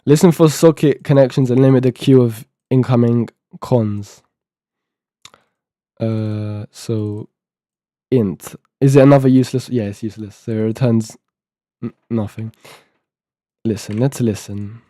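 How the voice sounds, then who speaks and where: A man's voice: mid-range voice, fairly even intonation, a little slow, mellow, no room sound, clean studio sound. An eighteen-year-old man streaming live, thinking aloud and half-reading documentation to himself as he codes, with a sore throat. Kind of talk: casual live-stream talk while coding.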